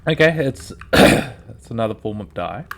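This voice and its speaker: A hoarse man streaming a video game, rambling to himself in casual commentary as he plays. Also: mid-range voice, lively intonation, unhurried, fairly bright tone. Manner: rambling, talking to himself while playing.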